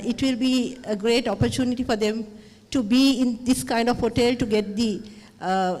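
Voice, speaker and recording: A woman's voice: on the high side, a little mellow. A woman tourism official with a Sri Lankan accent giving a formal thank-you speech, hesitant with fillers. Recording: long hall reverb.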